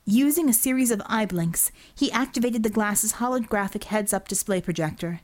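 A woman's voice: medium pitch, moderate pace. A woman reading a scripted story as an audiobook narrator.

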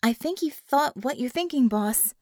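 Woman voicing eager, high-pitched female tech aide. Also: animated, normal speed, a little mellow, dry recording, studio quality. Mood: knowing.

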